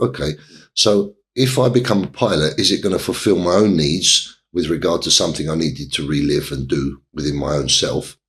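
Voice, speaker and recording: Interview guest, man, middle-aged, low voice, hesitant storyteller. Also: a bit bright. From England. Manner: halting, many fillers and restarts. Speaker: interview guest, property developer.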